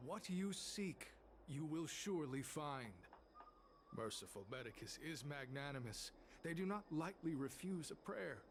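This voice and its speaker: A man's voice: fairly high pitch, lively intonation, unhurried, slight background noise. An actor playing a devout male cult disciple in a video game, preaching in a solemn, formal, sanctimonious manner. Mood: solemn, instructive.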